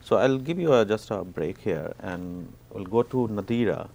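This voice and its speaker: A man's voice: fairly low pitch, lively intonation, moderate pace, vocal fry, mellow. A man hosting a sports talk show, asking questions and patiently explaining the basics of the game. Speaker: show host. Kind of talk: interview hosting.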